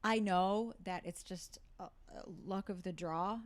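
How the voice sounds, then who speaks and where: A woman's voice: mid-range voice, measured pace. A woman actor talking casually in an interview, thinking aloud with many fillers and restarts. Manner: thoughtful, with frequent fillers and restarts. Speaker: actor and guest.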